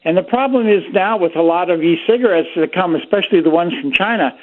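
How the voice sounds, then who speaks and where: A man's voice: sits high, fast talker. A man from Pennsylvania, a doctor calling into a radio show, easygoing and chatty as he tells stories. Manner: easygoing storyteller, relaxed and chatty. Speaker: physician guest. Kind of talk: casual radio chat. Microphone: phone call. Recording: tinny phone line.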